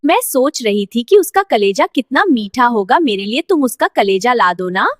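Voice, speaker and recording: A woman's voice: on the high side, sing-song, normal speed, soft background music. A woman voicing a selfish, stubborn crocodile wife in a cartoon story, wheedling and insistent. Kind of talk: Hindi cartoon story character acting.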